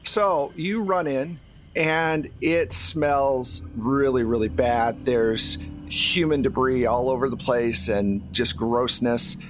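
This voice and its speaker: A man running a tabletop roleplaying game as game master, narrating scenes descriptively and conversationally. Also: mid-range voice. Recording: faint background ambient noise.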